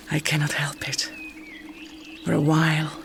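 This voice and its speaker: A seductive vampire noblewoman in a gothic audio drama, speaking very slowly in a lowish voice. She murmurs tenderly and possessively, with languid intensity, over clearly noisy ambience. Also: full character voice.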